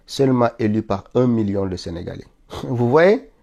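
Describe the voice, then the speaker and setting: A man's voice: medium pitch, expressive, normal speed, vocal fry, darkish tone. A man from Senegal with a cold, giving an insistent live political monologue that climbs to indignant peaks. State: stuffy with a cold. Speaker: political commentator streaming live.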